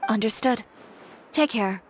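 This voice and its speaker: A woman's voice: a little high, a little slow, a little hiss or hum, lightly acted. A woman playing a proud, blunt female fashion designer in a video game, curt and composed.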